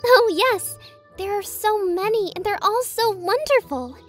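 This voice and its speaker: A very high, girlish voice of a sweet, effusive young princess, acted by a woman. She speaks politely and gushingly, as in a fairy-tale game, with faint music behind her. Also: animated, a little slow. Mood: sweet, cheery. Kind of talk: visual novel fan voiceover.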